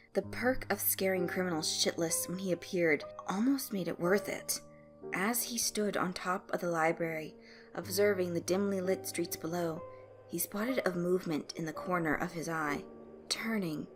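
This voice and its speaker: A woman reading scripted fan fiction as a storyteller. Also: lowish voice. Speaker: narrator. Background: background music.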